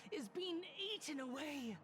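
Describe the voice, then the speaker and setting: A woman voicing a soft-spoken female cult leader in a game, preaching slowly in a whispery tone over game music. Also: high-pitched, lively intonation, over a noisy crowd. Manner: slow, hushed, whispery sermon-like delivery. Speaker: cult leader addressing a crowd. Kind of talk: voiced game dialogue. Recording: game audio with music behind.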